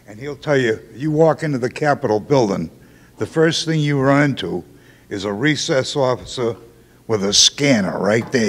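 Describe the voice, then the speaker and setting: An older man speaking bluntly from the floor at a town meeting, holding a handheld mic. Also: mid-range voice. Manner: blunt, plain-spoken. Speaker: audience member. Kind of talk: public comment at a town meeting. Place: school auditorium, speaking from the floor. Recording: hall reverb in a large auditorium.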